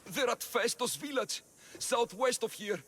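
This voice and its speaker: A man's voice: very high voice, unhurried, fairly bright tone, husky, exaggerated voice. An actor playing a cowardly cult henchman who stammers and pleads frantically under threat. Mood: panicked. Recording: orchestral game score underneath.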